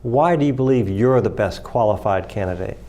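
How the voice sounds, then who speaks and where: A man's voice: on the low side, a little fast, warm tone. A man hosting a local political interview show, asking candidates short questions. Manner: brief affirming interjections. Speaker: TV interview host. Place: local cable TV studio.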